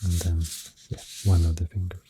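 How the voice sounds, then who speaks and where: A man with a Swedish accent softly rambling through an ASMR explanation, breathy and often near a whisper, soothing and hesitant in manner. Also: sits low, flat delivery, normal speed, noisy background. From Sweden. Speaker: ASMR host.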